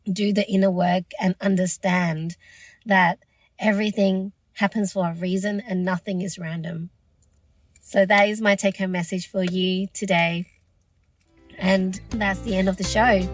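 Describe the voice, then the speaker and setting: A woman hosting her own podcast, losing her voice, speaking in an earnest, reflective and encouraging way. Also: mid-range voice. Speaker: podcast host. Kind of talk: solo podcast monologue.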